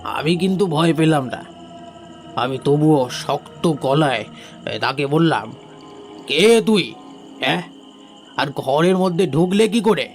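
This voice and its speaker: A man's voice: a little high, expressive, slow pace, pauses often, soft background music. A man playing a rustic sawmill worker in a horror audio drama, recounting in a hesitant, colloquial way. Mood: defiant. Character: rustic male sawmill worker, uneasy but bold witness.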